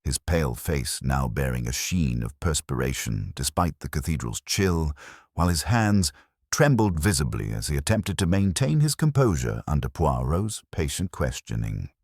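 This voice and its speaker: A man reading a scripted mystery story in a formal, measured literary manner, as an audiobook narrator. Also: a bass voice, sing-song, a little mellow, no echo, studio quality.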